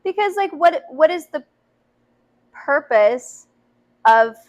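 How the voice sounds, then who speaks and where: A young woman who works as a youth minister, talking earnestly on a podcast in a high voice. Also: expressive, slow pace. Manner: earnest, frequent "to be honest" and "like". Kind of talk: casual chat.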